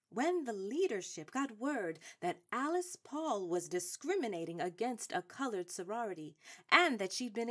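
A woman playing a seasoned, proud and shrewd suffrage activist in a radio drama, speaking in a formal, authoritative way. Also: a little high. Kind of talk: stage-trained radio drama acting.

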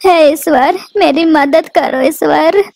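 A woman voicing a gentle young mother bird in a cartoon, pleading and praying anxiously. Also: extremely high pitch, fairly even intonation, measured pace. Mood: anxious prayer. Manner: pleading, prayerful. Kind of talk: cartoon voice acting.

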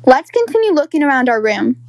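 Young female roleplay storyteller, high voice, animated, dramatic delivery. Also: fairly quick, no room sound. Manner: dramatic, expressive. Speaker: storyteller voicing all roles. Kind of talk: acted roleplay of animated toy-game characters.

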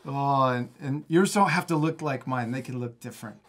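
A man's voice: mid-range voice, normal speed. A man in his thirties giving a casual step-by-step drawing lesson for children, encouraging and often chuckling. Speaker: drawing instructor and host. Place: indoor studio, at a table.

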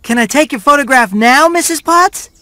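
A polite little boy mouse hero from a video game, very high and bright. The speech is earnest, neat and eager, with a lively swing, recorded dry. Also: moderate pace, no room sound. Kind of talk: scripted game cutscene dialogue.

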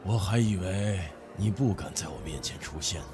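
An actor playing a stern, imposing middle-aged company boss in an audio drama, accusing and condescending. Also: male voice, low voice, animated, normal speed. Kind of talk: Chinese audio drama acting. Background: background music.

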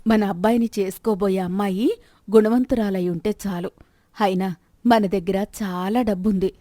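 A woman's voice: medium pitch, steady tone, normal speed, dark tone, no room sound, close to an everyday voice. A woman voicing a persistent, practical middle-aged wife of a rich merchant in a moral story, chiding and persuading. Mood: chiding, persuasive.

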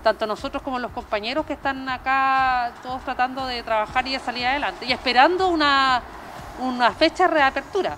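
A woman's voice: high voice, brisk, pushed and bright. A middle-aged woman with a Chilean accent, earnest and emphatic, answering a news interview as a small business owner through a face mask. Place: open-sided restaurant terrace by a street. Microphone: handheld reporter microphone. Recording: outdoor street noise with traffic.

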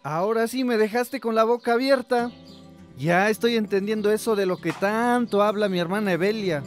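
A man's voice: high-pitched, normal speed, natural voice. An actor as a traditional husband in a village radio drama. He sounds perplexed and full of questions, with music playing behind him. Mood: baffled. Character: baffled village husband, traditional, slowly won over.

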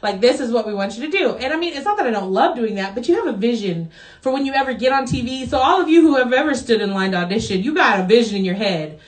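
Animated woman in an echoey room. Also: fairly high pitch, fast talker. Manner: animated and laughing, storytelling. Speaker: singer and co-host. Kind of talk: casual chat with an online audience.

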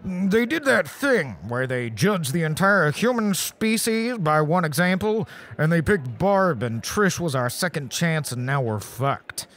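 Blustering silly mayor, high bright voice, super theatrical. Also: male voice, lively intonation, unhurried. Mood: dismayed. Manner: theatrical, exclamatory. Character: excitable, blustering, foolish small-town male mayor. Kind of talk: sketch comedy radio play.

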